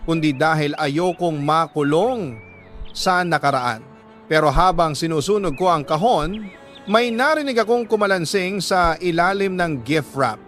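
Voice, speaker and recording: A man with a Filipino accent voicing a heartbroken, devout young woman's letter as earnest, dramatic radio storytelling with deliberate pauses. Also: fairly high pitch, fairly bright tone. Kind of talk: dramatic first-person scripted narration.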